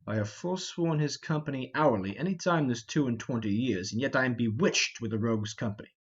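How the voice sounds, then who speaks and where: A man's voice: medium pitch, brisk, husky, highs cut off, pitched below the performer's own voice, natural voice. A man voicing a blustering, grumbling, fat older knight and thief in an amateur reading of a Shakespeare play. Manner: exclamatory, complaining.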